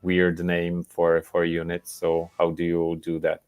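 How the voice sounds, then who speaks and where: A man hosting a livestream, asking halting questions full of fillers. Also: sits low, completely flat delivery, darkish tone, clean tone. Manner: halting, fills with uh-huh and um. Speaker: host. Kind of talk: livestream interview.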